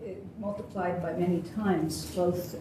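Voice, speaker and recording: A woman giving consecutive interpretation in English, hesitant with many fillers, her phrases trailing off softly. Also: lowish voice, steady tone, takes it slowly. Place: university lecture hall. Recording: distant echoey hall with faint noise.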